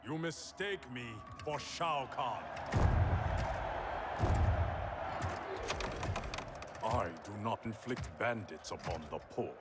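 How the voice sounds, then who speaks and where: A man's voice: medium pitch, very animated, a little slow, very noisy. A man playing a stern, proud warrior ruler in a video game, speaking in formal, declamatory lines. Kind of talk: dramatic video game cutscene acting.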